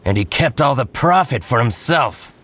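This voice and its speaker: An actor voicing a spiteful merchant in a video game, scornful and gloating. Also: male voice, mid-range voice, expressive, moderate pace, a bit bright, a little hiss or hum, natural voice. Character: spiteful middle-aged male merchant, grudging. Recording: game audio with music.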